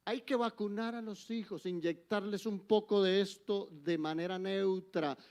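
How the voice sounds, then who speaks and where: An older man with a Colombian accent preaching a sermon in church, emphatic, swinging between forceful exhortation and soft, intimate asides. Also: sits high, steady tone, unhurried. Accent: Colombian Spanish. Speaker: guest preacher.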